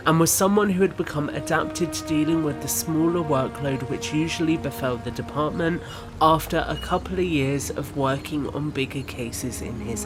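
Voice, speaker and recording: Higher-pitched male narrator over music. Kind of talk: scripted audio drama narration. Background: background music.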